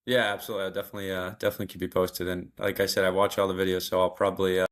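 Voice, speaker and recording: A man's voice: deep voice, level tone. A man with a Boston accent, an amateur runner talking about his training plan, hesitant and full of fillers. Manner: hesitant, many fillers and restarts, quick to laugh. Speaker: amateur marathon runner, guest. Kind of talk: casual interview chat.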